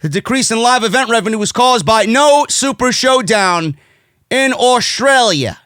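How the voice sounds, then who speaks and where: A man's voice: very high-pitched, expressive, normal speed, fairly bright tone, no room sound, clean studio sound. A man with a New York accent delivering a brash, profane, indignant rant as an opinionated wrestling commentary host, hammering his points with emphasis. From United States.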